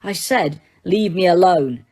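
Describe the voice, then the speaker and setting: A woman's voice: on the low side, extremely slow, dark tone. Defiant, furious teen boy read by a woman; raised voice. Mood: angry. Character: teenage boy, angry and defiant.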